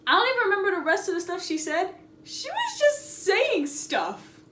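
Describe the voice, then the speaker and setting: High-voiced young woman telling stories, echoey room. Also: normal speed. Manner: animated, sarcastic, stretches words for emphasis. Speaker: storytime vlogger. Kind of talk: casual, chatty anecdote storytelling. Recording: roomy echo, noticeable background noise.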